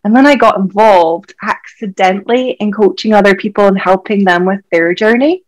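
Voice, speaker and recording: A woman's voice: medium pitch, moderate pace, poor audio. A Scottish woman in her thirties, a doctor and health coach, chatting candidly as a podcast guest with lots of "like" fillers. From Scotland. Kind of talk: casual podcast conversation.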